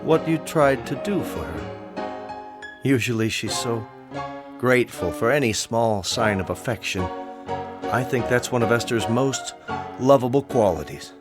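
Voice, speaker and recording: An actor playing an urbane, middle-aged bachelor playwright with a suave, formal way of speaking, in a radio drama. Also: male voice, medium pitch, lively intonation, unhurried, long pauses, close to an everyday voice. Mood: nostalgic. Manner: suave, dry, formal phrasing. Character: urbane, aloof bachelor playwright, secretly romantic. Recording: studio radio drama with music underneath.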